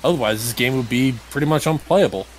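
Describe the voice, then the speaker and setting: A man's voice: medium pitch, fast talker, a little hiss or hum. A man playing a video game and commentating casually, with blunt, grumbling remarks. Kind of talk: casual gameplay commentary chat.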